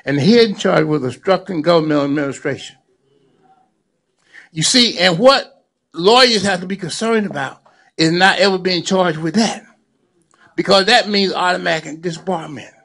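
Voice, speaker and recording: A man's voice: high voice, moderate pace, pauses often, fairly bright tone. A man in his seventies giving a speech to a gathering, preacherly and repeating phrases for emphasis. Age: 70s. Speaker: lawyer and community activist.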